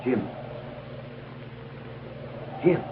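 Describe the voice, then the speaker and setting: A man's voice: drawn-out words, background noise. An actor playing a furtive, uneasy frontier man in a 1950s radio western. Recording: muffled, thin old radio recording.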